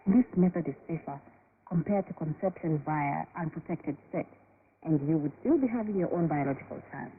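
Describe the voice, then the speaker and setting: Calm lady doctor explaining stuff slowly, radio drama, tinny shortwave with hiss. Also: low-pitched. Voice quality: measured, explanatory. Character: calm female doctor, patient and informative. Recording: noisy shortwave broadcast, tinny band.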